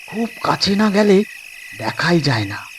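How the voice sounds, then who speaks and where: A man's voice: high-pitched, sing-song, a little slow, a bit bright, a little hiss or hum. A man narrating a suspense story as a storyteller, in a dramatic scripted style.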